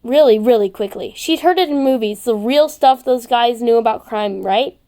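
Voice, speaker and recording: A woman's voice: fairly high pitch, normal speed, warm tone. A woman narrating a story expressively as an amateur reader, sometimes stumbling and rereading words.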